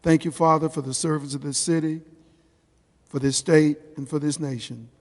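A man with a fairly high voice prays aloud before a meeting. He delivers it solemnly and with emphasis, one short phrase at a time. The room adds a long reverb around his words. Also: steady tone, normal speed. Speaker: council member leading opening prayer. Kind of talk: public prayer. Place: indoor council chamber. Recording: reverberant hall.

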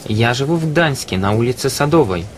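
A man's voice: mid-range voice, animated, a little fast, a little hiss or hum. A man reading scripted dialogue for a language course.

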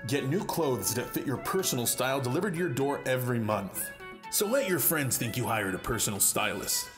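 A man's voice: medium pitch, big pitch swings, a little fast, voice pushed up, lightly acted. A man voicing a friendly, casual announcer in a fashion commercial. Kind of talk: advertisement voice-over. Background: guitar music.

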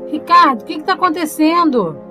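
A woman's voice: high-pitched, very animated, brisk, sharp tone, soft background music, exaggerated voice. An actress playing an anxious young girlfriend in an audio drama. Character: young woman, anxious girlfriend secretly scheming.

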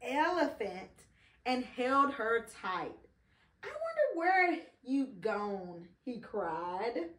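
Slow, animated woman reading a storybook. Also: a little high. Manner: expressive, encouraging, pauses to prompt the child. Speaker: mother reading aloud to her child. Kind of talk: children's read-along storytelling. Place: indoor living room beside a Christmas tree. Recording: roomy, echoing home recording.

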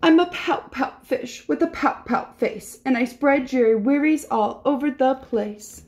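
Lady doing a mopey boy fish from a picture book, high voice, sulky singsong, slow. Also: darkish tone. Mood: glum, resigned. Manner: mopey, sing-song rhyming refrain. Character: glum, gloomy male pout-pout fish, resigned and sulky. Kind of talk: children's picture-book character voice.

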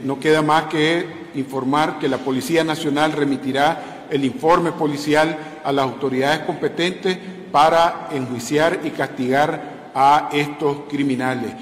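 A middle-aged man giving a formal police press briefing, speaking in an official manner. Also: fairly high pitch. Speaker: police spokesman. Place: large indoor press room. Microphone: bank of press microphones on table. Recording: echoey hall with faint noise.

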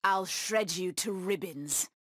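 A woman's voice: on the low side, slow pace, fairly bright tone, dry recording, clean studio sound. An actress voicing a proud, bloodthirsty cat-woman huntress villain in a video game, with menacing, taunting delivery.

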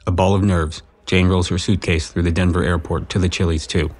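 A man's voice: deep voice, flat delivery, fairly quick, darkish tone, close to an everyday voice. A man reading scripted narration for a romantic comedy audio drama.

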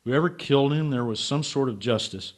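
Radio drama lead: male actor as stubborn, hard-drinking hack writer, bitter and brooding, mid-pitched. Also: fairly quick, close to an everyday voice. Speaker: actor and narrator. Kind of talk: radio drama acting and first-person narration.

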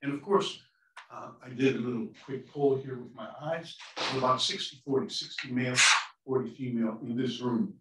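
A man's voice: medium pitch, moderate pace. A man moderating a panel discussion, posing questions with frequent hesitations. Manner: hesitant, many fillers. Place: conference room. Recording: echoey room from far off, faint noise.

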